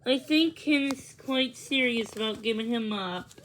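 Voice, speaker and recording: A woman voicing a kindly, worried aunt in a children's storybook, gentle and sincere. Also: a little high, a little slow, low-quality recording. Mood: firm, worried. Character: kindly, worried aunt who loves dogs.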